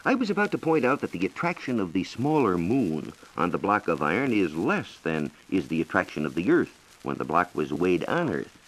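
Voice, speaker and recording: An American man explaining science in a measured, lecturing way, as a reporter in a scripted 1940s educational radio dialogue. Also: low-pitched, sing-song, low-quality recording. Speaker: science reporter and guest expert.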